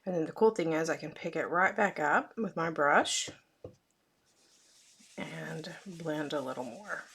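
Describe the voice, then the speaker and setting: Hoarse woman demonstrating card-making, low voice. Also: unhurried, a bit bright, slight background noise. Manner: chatty, with frequent fillers. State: hoarse, losing her voice. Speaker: craft demonstrator. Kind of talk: casual card-making tutorial.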